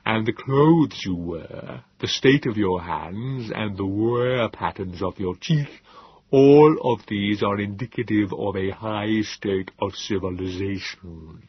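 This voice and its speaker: A man voicing a calm, clinical male Morlock who speaks in a slow, even, measured way. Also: lowish voice, breathy edge, no room sound, dull audio.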